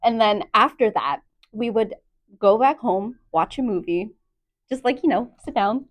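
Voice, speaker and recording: A woman's voice: a little high, expressive, unhurried. A twenty-three-year-old woman answering casually, meandering with lots of "like"s. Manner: dreamy, meandering, many "like"s. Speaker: contestant.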